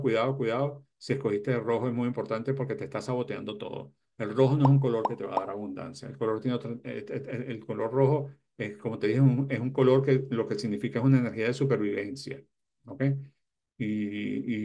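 A man's voice: medium pitch, vocal fry, a little mellow. A man in his sixties giving motivational advice to camera as a self-help host, emphatic and repeating key words. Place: small indoor room lined with bookshelves.

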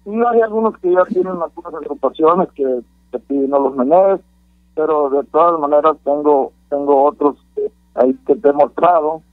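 High-voiced Sonoran man on a phone line. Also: no echo. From Sonora, Mexico. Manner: friendly, halting with repeated words. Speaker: songwriter guest. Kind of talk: casual interview by phone. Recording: dry tinny phone line.

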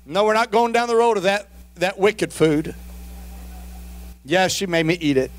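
A man's voice: high voice, animated, normal speed. A middle-aged man preaching a sermon as pastor, emphatic and calling out to his congregation.

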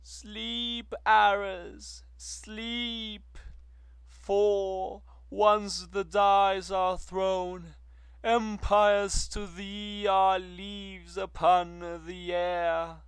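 A man voicing a grieving woman who pleads with a war god, drawn-out and impassioned. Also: high voice, little pitch movement, clear, resonant tone, no room sound, voice pushed up, exaggerated voice. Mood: pleading.

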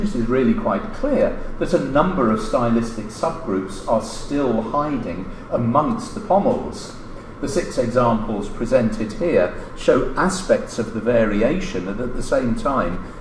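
A man reading a formal academic conference paper aloud in a measured, scholarly way, pausing mid-phrase. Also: medium pitch. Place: indoor lecture hall, at a lectern. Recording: echoey lecture room, distant mic, background noise.

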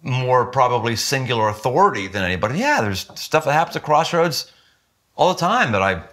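An emphatic man in his fifties speaks as a pastor in a sit-down interview, his voice bright and forward. He stresses points with sudden lifts in pitch, recorded indoors in a quiet living room. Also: a little fast. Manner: earnest, emphatic, with lively jumps in pitch when stressing points. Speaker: senior pastor.

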